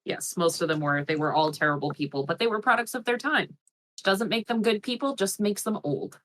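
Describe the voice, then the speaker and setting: A woman's voice: mid-range voice, brisk, fairly bright tone. A woman plays along as a contestant on a comedy podcast. She trades dry, wry quips with the others. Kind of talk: casual comedy podcast chat.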